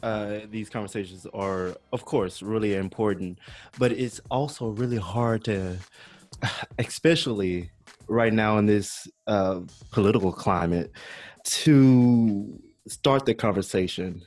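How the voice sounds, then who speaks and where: A man from Louisiana speaking as a podcast guest, thoughtful and hesitant, with pauses between his thoughts. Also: fairly low pitch, darkish tone. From Baton Rouge, Louisiana. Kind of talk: casual discussion.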